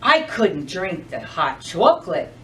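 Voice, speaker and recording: A woman voicing a gentle, polite magical snowman from a children's storybook, speaking softly. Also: fairly low pitch, big pitch swings, slow, roomy sound, recorded from a distance, voice pushed down, heavily acted.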